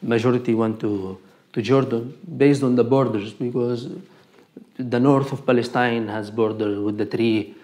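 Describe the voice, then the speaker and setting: A man's voice: medium pitch, steady tone, unhurried, darkish tone, roomy sound. A man from Lebanon, giving an earnest, explanatory documentary interview.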